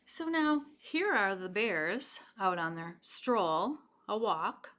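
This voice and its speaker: A woman reads a picture book aloud to children. Her pitch moves in an animated way and her pace is leisurely. She speaks directly to young listeners, explaining and asking them questions. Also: slow. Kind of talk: read-aloud storytelling with chatty asides.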